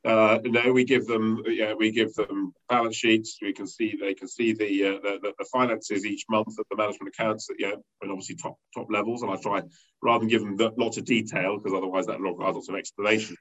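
Guy running a company, rambly, lots of uhs, lowish loud voice, kinda dull lo-fi audio. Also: little pitch movement, brisk. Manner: rambling, many fillers and restarts. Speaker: company leader interviewed as guest. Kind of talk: conversational business interview. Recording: dull lo-fi sound.

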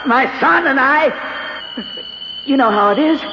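A man's voice: extremely high pitch, lively intonation. An actor playing an anxious, earnest father of a young boy in a 1970s radio drama. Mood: anxious, pleading. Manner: earnest, halting when flustered. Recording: muffled, hissy old radio recording.

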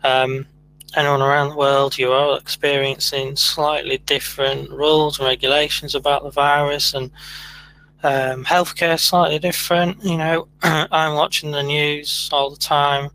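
A man hosting a podcast, speaking in a measured, earnest way with many fillers. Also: a little high, little pitch movement, bright, forceful tone, smooth, poor audio. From United Kingdom. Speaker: podcast host. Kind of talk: casual chat.

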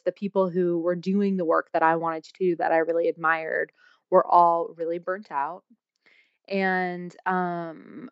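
Woman guest, coach type, lowish voice, reflective and searching, dry slightly dull recording. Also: no room sound. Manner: thoughtful, searching, with frequent fillers. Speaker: guest, coach and energy healer. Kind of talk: reflective interview talk.

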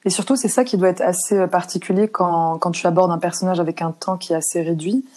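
A woman's voice: fairly low pitch, fairly even intonation, fast talker, warm tone. A woman hosting a conversational podcast interview as the interviewer.

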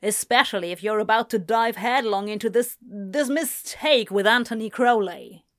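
A woman's voice: on the high side, animated, measured pace. A woman voicing a confident, insistent man in an audiobook story, blunt and certain, dismissive yet lovesick.

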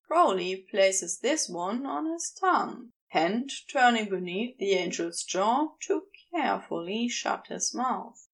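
A woman reading a piece of fiction in a measured, deliberate, intimate storytelling style. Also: mid-range voice, animated, vocal fry. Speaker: amateur audiobook narrator.

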